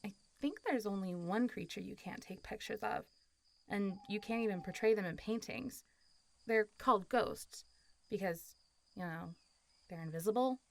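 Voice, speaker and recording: A woman's voice: mid-range voice, normal speed, vocal fry, lightly acted. A woman playing a friendly, teasing, centuries-old zombie girl in an intimate roleplay audio drama, talking in a casual, playful way. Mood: wry. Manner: casual, playful, teasing, often laughing and sighing.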